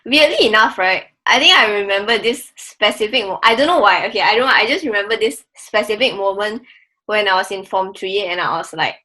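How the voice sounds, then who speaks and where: A woman's voice: medium pitch, fairly quick, pushed and bright. A woman in her twenties with a Malaysian accent, chatting casually on a podcast with lots of filler words. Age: 20s. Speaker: podcast co-host.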